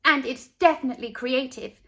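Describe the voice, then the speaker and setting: A woman's voice: high voice, a little fast, voice pushed up. A woman voicing a cheerful, confident little girl with a playful sing-song manner in a storybook. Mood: pleased, teasing. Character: cheerful confident little girl who loves being different. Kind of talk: storybook character voice.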